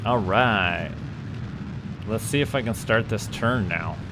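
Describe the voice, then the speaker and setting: Unhurried Canadian man streaming over background noise. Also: on the low side. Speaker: livestream gamer host. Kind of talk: casual live gameplay commentary.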